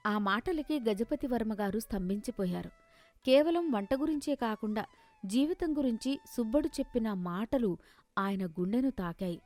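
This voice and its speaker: A woman's voice: a little high, expressive, normal speed, darkish tone. A woman narrating a scripted moral story as a storyteller.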